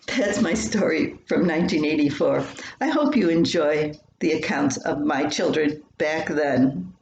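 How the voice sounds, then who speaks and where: A woman's voice: low voice, a little slow, vocal fry, a little mellow, highs cut off. An older woman reading her own scripted personal story aloud. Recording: roomy echo, faint background noise.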